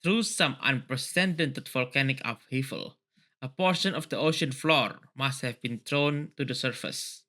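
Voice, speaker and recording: A man hosting a read-along, moving between reading a story aloud and excitable, casual commentary full of tangents. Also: medium pitch, measured pace. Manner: excitable, often laughing and digressing.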